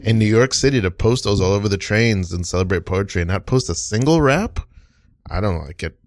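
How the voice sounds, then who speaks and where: A man's voice: lowish voice, expressive, brisk, no echo, clean studio sound. An American man hosting a casual podcast conversation, giving his opinions emphatically. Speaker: podcast host.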